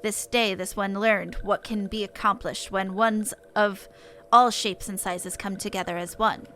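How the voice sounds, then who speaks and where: A woman voicing a gentle, earnest forest sylph from a game, solemn and stilted in the third person. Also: medium pitch, steady tone, measured pace, slight background noise, natural voice. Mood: heartfelt.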